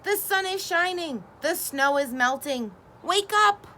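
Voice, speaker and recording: A woman voicing an eager, cheerful male frog in a storybook, with high, loud calling. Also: animated, measured pace, slight background noise, heavily acted.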